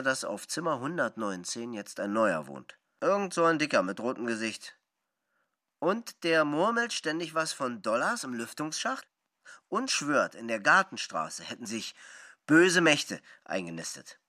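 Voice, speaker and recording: A man giving expressive, scripted literary narration in a radio drama. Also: mid-range voice, measured pace, no room sound, clean studio sound.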